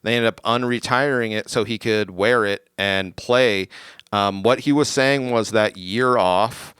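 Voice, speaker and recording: A man's voice: fairly low pitch, unhurried, a bit bright, clean tone, dry recording, studio quality. A man, co-hosting a casual sports podcast, chatting eagerly about a player's career. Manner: enthusiastic, chatty with fillers. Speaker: podcast co-host.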